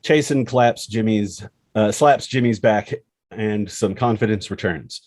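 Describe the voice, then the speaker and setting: A man's voice: fairly low pitch, unhurried, a little mellow, dry recording. A man reading scripted screenplay action and scene headings as the narrator.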